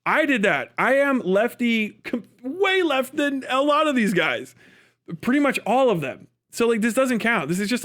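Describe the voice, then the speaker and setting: A man with a rather high voice rants off the cuff as a livestream commentator. He is exasperated and emphatic, swears freely, and hammers a point by saying it again. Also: fairly bright tone. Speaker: livestream commentator reacting to clips. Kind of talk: casual unscripted political rant.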